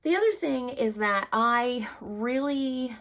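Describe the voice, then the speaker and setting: A woman with a hoarse voice from allergies, chatting casually to camera about her art process and thinking aloud with many fillers. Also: fairly high pitch, lively intonation, slow pace, a bit bright. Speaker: artist vlogger.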